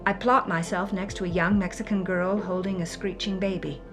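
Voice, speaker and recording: A woman's voice: mid-range voice, steady tone, a little fast, lightly acted. A woman as a theatrical, jaded movie star, music behind. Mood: weary suffering. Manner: theatrical, confessional. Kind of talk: dramatic first-person monologue.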